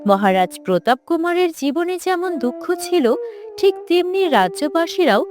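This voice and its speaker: A woman's voice: very high-pitched, sing-song, normal speed, darkish tone, faint music behind. A woman narrator reading a scripted folk tale in storytelling style.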